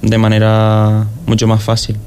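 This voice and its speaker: A man's voice: lowish voice, completely flat delivery, measured pace, a little mellow, clear, resonant tone. A man co-hosting a local radio show, talking in an easygoing, joking way. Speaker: radio co-host. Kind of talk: casual radio chat.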